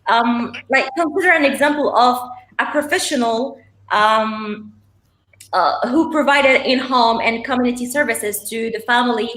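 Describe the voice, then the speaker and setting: A woman's voice: on the high side, measured pace, fairly bright tone. Hesitant Moroccan-born woman, echoey room. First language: not English. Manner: hesitant, many fillers and restarts. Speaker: panelist, behavior professional. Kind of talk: panel discussion.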